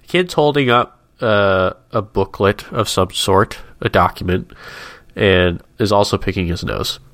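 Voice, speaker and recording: A fairly low-voiced man with a stuffy nose chats on a podcast, wry and rambling, easily amused by his own asides. The sound is dry and close, with no room around it. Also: measured pace, a bit bright, no echo. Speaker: podcast co-host. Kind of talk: casual chat, describing a comic.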